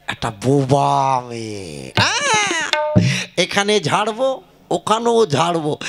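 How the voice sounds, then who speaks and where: A man voicing a wily, excitable village matchmaker in a comic puppet play, with a wheedling, exaggerated delivery. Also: extremely high pitch, heavily acted. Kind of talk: comic Bengali folk puppet theatre.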